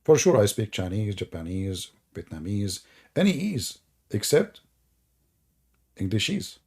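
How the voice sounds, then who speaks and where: Arabic-accented guy on a live stream, mellow husky voice, joking and mocking. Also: lowish voice, big pitch swings, measured pace. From Middle East. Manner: sarcastic, teasing, jokey.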